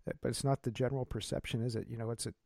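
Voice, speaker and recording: A man's voice: medium pitch, level tone, very fast, creaky, dark tone, dry recording. Upbeat male podcast host, dry sound. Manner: enthusiastic, chatty, with many fillers. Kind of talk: interview hosting.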